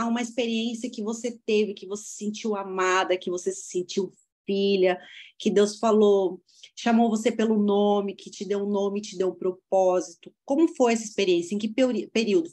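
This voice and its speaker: A woman's voice: mid-range voice, normal speed, darkish tone. A woman hosting a faith podcast, asking long questions and affirming her guest. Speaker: podcast host. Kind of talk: casual interview chat.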